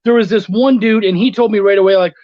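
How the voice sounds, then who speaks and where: On a slightly muffled, very dry recording, a man talks casually as an interview guest. He tells stories in an animated, conversational way and swears often. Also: high-pitched, fast talker, no echo. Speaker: guest, freelance writer and editor. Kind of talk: casual anecdotal chat.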